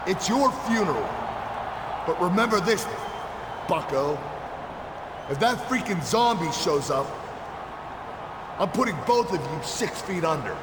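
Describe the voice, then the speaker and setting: In an echoey hall with background noise, an arrogant champion wrestler talks in a game cutscene. The pompous, sarcastic voice is somewhat high and swings widely. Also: male voice. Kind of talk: video game cutscene acting.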